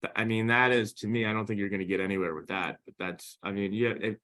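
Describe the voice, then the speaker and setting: A man's voice: lowish voice, steady tone, fast talker, pushed and bright. A man speaking as an interview guest and founder, in a casual, conversational and emphatic way.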